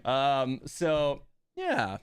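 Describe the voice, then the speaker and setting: A man's voice: medium pitch, wildly swooping pitch, drawn-out words, clear, resonant tone, dry recording, studio quality. A man giving casual live stream commentary on a game in a rambling, amused, conversational way.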